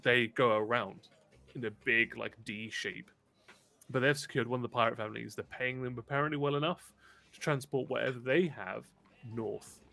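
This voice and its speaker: Gravelly, bright-voiced man running a game. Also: mid-range voice, steady tone. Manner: halting, conversational delivery. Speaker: game master. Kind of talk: improvised tabletop game narration.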